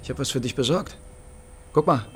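A man's voice: mid-range voice, animated, fairly quick, soft background music, lightly acted. An actor playing a menacing, contemptuous East German security officer in a radio crime drama, needling and sneering as he talks. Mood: sneering, taunting.

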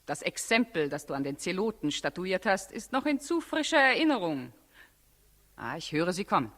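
A high, lilting voice in a long hall reverb belongs to a shrewd royal adviser. He soothes and cautions with calm familiarity, in the manner of 1960s radio drama. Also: moderate pace. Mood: cautioning. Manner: soothing, admonishing, familiar with the king. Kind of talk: 1960s German radio play acting.

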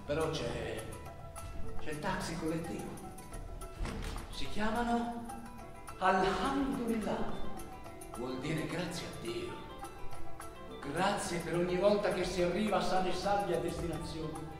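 A man's voice: high voice, expressive, unhurried, pauses often, music in the background. A man narrating a theatrical travel monologue on stage, delivered phrase by phrase. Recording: very echoey hall, far from mic.

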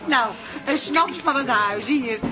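An actress playing a brisk, sardonic pub landlady with a Netherlands Dutch accent and a mocking manner in a radio play. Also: high-pitched, low-quality recording. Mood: teasing. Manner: wry, mocking, colloquial. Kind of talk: radio comedy-drama acting. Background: music.

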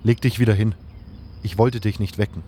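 A man playing an earnest low-voiced adventurer hero. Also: steady tone, a little fast, warm tone, slight background noise, lightly acted. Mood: calm, reflective. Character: first-person male adventurer hero, earnest and thoughtful. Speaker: narrator and lead character of a fan audio drama. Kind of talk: audio drama narration with in-character dialogue.

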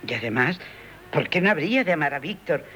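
A man in a 1970s radio drama playing a calm, gently teasing family member who reasons open-mindedly. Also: a little high, fairly quick. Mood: teasing, reasoning. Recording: dull, band-limited 1978 radio recording.

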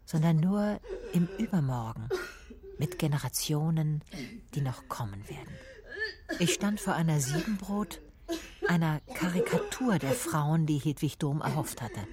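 Low-voiced female detective. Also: animated, measured pace, a crowd in the background. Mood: reflective. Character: cool, reflective female private detective narrating her case. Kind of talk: radio crime drama, first-person narration.

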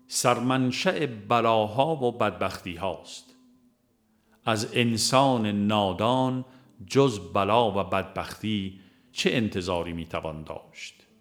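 A man reading a religious audiobook in a measured, formal, devotional way. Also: fairly low pitch. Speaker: audiobook narrator. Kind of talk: scripted audiobook narration of a religious text. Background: music.

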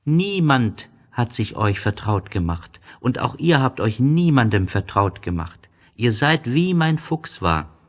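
A man's voice: medium pitch, very animated, unhurried, hardly any pauses, voice pushed up. A man voicing an innocent, curious young boy prince in a storybook, speaking earnestly and with emphasis. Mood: firm, proud.

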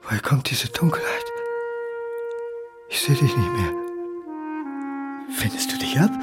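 An actor playing a lonely, abandoned son in a radio play, speaking in halting, broken phrases of hurt and pleading. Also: male voice, medium pitch. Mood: desperate, pleading. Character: wounded, abandoned illegitimate son, lonely grown man, pleading. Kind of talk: dramatic monologue, radio play. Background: music.

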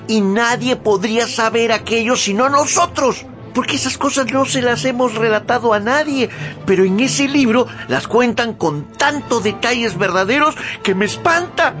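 A jumpy naive squire in a radio play, really high agitated voice, bit of music behind. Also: male voice, moderate pace. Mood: frightened, pleading. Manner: agitated, pleading, stammering. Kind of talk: Spanish-language radio drama acting.

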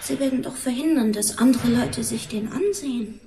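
High-pitched young woman played by an actress, frightened, defensive, pleading, halting delivery, radio drama, roomy echo. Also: mellow, close to an everyday voice. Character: frightened, evasive young runaway woman under police questioning. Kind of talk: German radio drama acting. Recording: roomy, echoing studio interrogation scene.

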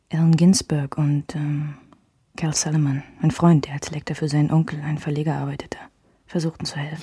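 An actress playing a reflective, wounded woman who recalls her married life in measured, intimate first-person radio drama narration. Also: low voice, mellow, slightly rough, dry recording, studio quality, heavily acted. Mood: quiet, intimate.

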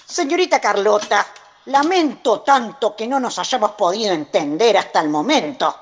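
A woman's voice: medium pitch, lively intonation, moderate pace, slight background noise, close to an everyday voice. A woman playing a pompous, manipulative grandfather reading his formal letters in an audio drama. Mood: condescending.

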